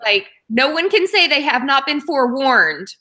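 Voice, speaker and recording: Bright, frank woman from Oklahoma. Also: high-pitched, sing-song, moderate pace. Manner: frank, matter-of-fact opinions. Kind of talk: casual book discussion.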